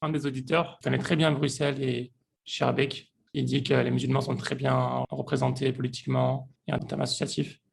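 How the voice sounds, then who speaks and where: A man hosting a podcast, reading a scripted intro and then asking questions in a calm, steady way. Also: medium pitch, normal speed, gravelly, breathy edge. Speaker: podcast host.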